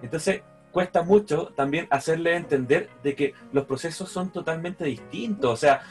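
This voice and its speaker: A man's voice: a little high, moderate pace, a little mellow, faint music behind. A man with a Chilean accent in casual chat, frank and conversational.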